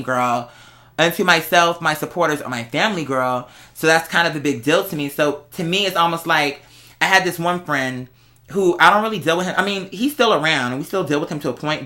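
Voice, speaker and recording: A speaker chatting casually to viewers as host, telling stories in a sassy, slangy, exclamatory way with drawn-out emphasis. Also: medium pitch, bright, forceful tone. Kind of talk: casual storytelling chat to viewers.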